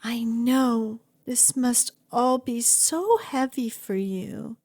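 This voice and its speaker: Woman acting a high-voiced, lovestruck yandere kidnapper. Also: extremely slow, a little mellow. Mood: hopeful, clingy. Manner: gushing, coaxing, dramatic emphasis. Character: obsessive, lovestruck female kidnapper, doting and clingy. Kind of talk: ASMR roleplay acting.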